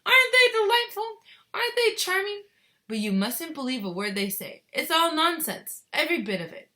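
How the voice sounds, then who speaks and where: A woman voicing an eccentric bearded chocolate maker from a children's storybook, flippant and excitable, in a brisk, emphatic, theatrical way. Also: very high-pitched, voice pushed up, exaggerated voice. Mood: delighted, dismissive. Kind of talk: children's storybook read-aloud.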